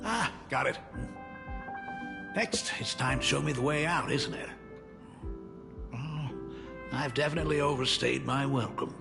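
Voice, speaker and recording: A weary middle-aged father from an anime game. His voice is heavily creaky and gravelly at a middling pitch. He speaks with wry regret over clear background music. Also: measured pace, long pauses. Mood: wry resignation. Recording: music behind the voice.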